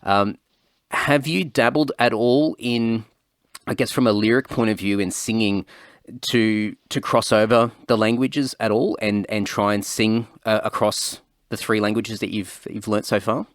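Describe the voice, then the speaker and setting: Aussie guy hosting a podcast, lowish voice, dry close sound, chatty and meandering. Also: measured pace, no room sound. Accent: Australian. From Australia. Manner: relaxed, rambling, many restarts and fillers. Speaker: podcast host. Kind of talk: casual interview chat.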